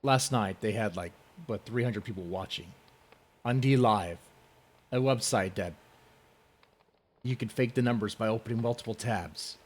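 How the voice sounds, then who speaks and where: A man giving a dramatic reading in a theatrical, scornful manner, leaning on words with deliberate, drawn-out emphasis. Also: on the low side, breathy edge. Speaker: reader of an online post.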